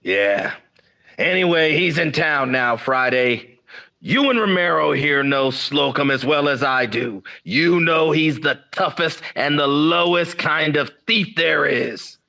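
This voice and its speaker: A man's voice: fairly high pitch, takes it slowly, vocal fry, pushed and bright, full character voice. An actor playing a brusque male chief of detectives in a radio crime drama. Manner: brusque, gives orders. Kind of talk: 1940s radio drama acting.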